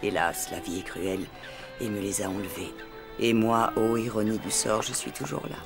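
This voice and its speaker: A woman playing an elderly, gentle aristocratic grandmother in a cartoon, speaking in a refined, wistful way. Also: a bass voice, fairly even intonation, moderate pace. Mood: wistful, sorrowful. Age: older. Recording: background music under dialogue.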